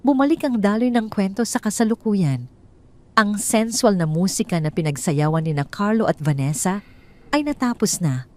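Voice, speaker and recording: A woman with a Filipino accent reading a scripted, dramatic story as its narrator. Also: medium pitch.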